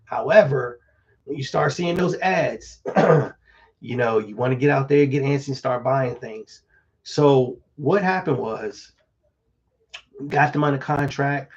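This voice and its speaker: Slightly hoarse man, casual advice. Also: medium pitch, a little slow, lo-fi sound. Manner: relaxed, conversational, many fillers and restarts. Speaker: real estate agent. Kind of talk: casual live-stream advice talk.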